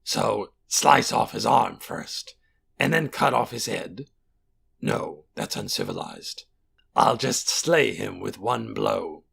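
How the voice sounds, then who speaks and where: Fantasy audiobook monster guardian: very gravelly, forceful, proud and coldly disdainful, formal delivery. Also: male voice, fairly low pitch, very animated, heavily acted. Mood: calculating. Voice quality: raspy, gravelly, harsh. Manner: deliberate, haughty, formal. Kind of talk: audiobook character voice.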